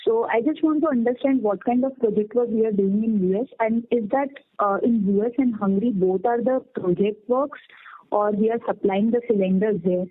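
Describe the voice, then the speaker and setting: A woman calling in as an individual investor, asking polite, halting questions on an earnings call. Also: a little high. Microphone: telephone conference line. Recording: narrow tinny phone line.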